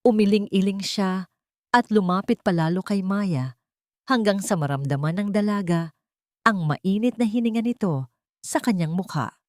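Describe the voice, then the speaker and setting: A woman's voice: medium pitch, animated, normal speed, a little mellow, no echo, studio quality. A woman reading a dramatic scripted story as narrator.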